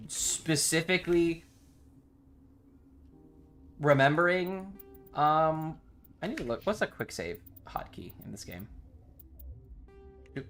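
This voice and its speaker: A man's voice: medium pitch, vocal fry, a bit bright. A man chatting casually on a game livestream, with slangy, sweary banter. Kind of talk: casual game stream chat.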